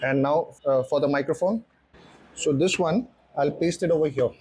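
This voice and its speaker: A man's voice: fairly high pitch, moderate pace, darkish tone, a little hiss or hum. A man presenting a casual vlog walkthrough, explaining things step by step. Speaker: motorcycle vlogger and presenter. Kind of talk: casual unboxing and installation walkthrough.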